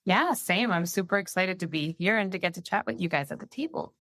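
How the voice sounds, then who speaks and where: A woman's voice: on the low side, expressive, fairly quick, fairly bright tone, no echo, clean studio sound. A woman from Peru, whose first language is Spanish, chatting as a podcast guest with many "like" fillers. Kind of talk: casual interview chat.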